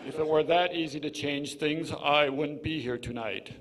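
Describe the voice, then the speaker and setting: A man's voice: fairly high pitch, moderate pace, noisy background, natural voice. A man playing a pompous, snide radio host in a comedy sketch, with a haughty, sarcastic delivery. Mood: dryly sarcastic. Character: pompous, snide male radio host judging child auditions. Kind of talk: scripted comedy sketch in old-time radio manner.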